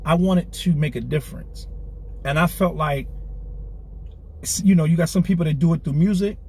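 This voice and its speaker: A man's voice: on the high side, normal speed, darkish tone, a little hiss or hum. A man in his forties talking in a candid interview in a car, earnest and streetwise, often repeating himself. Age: 40s. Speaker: clothing brand founder, guest. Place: inside a car.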